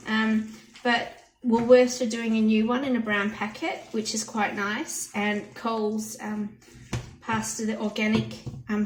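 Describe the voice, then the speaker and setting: Hoarse woman in an echoey kitchen. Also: fairly high pitch, a little hiss or hum. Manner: chatty, plain step-by-step explaining. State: hoarse, losing her voice. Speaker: home cooking channel host. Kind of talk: casual cooking demonstration. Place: home kitchen. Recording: roomy kitchen audio from a distance.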